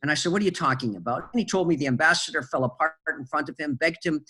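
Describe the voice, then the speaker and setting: A man with a fairly high voice for a man, answering interview questions. He talks in halting runs full of fillers and restarts as he recalls events. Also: sharp tone, breathy edge. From Canada. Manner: hesitant, many fillers and restarts. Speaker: interview guest, film producer. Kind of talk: conversational interview storytelling.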